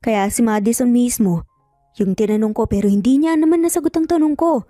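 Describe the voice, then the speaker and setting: A woman's voice: a little high, fairly quick, warm tone, no room sound, lightly acted. Woman as insistent, frustrated male lawyer. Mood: dejected. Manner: insistent, earnest. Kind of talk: Tagalog romance drama storytelling. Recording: music bed under the voice.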